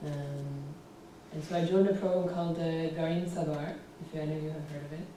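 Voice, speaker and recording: A young American woman giving a relaxed public talk with slides, often pausing with "um". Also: deep voice. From United States. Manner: relaxed, fills pauses with ums. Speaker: guest speaker. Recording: roomy echo, distant, faint hiss.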